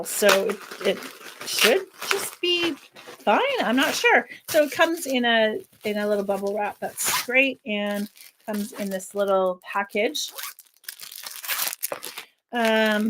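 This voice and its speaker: A woman of medium pitch talking her way through a casual product review on her channel. She rambles and restarts as she thinks aloud. Her voice has a slight squeak to it today. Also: noisy background. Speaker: worship flag maker and channel host.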